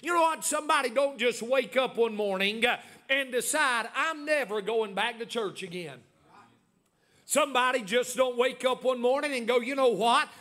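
A man's voice: very high-pitched, normal speed, bright, forceful tone. A man in his forties preaching a sermon as pastor, with many "uh" fillers and emphatic repetition. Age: 40s.

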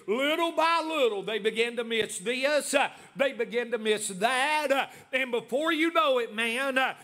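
A man's voice: very high voice, bright, forceful tone. A man in his forties preaching a sermon as pastor, with many "uh" fillers and emphatic repetition. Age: 40s.

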